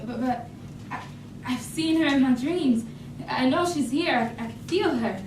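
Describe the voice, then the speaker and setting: An actress in a staged reading playing a grieving, yearning daughter, with a pleading, hesitant way of speaking. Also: female voice, sits high, measured pace. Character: yearning, grieving daughter desperate to reach dead mother. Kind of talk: staged reading, dramatic dialogue. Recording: echoey room, distant mic, faint noise.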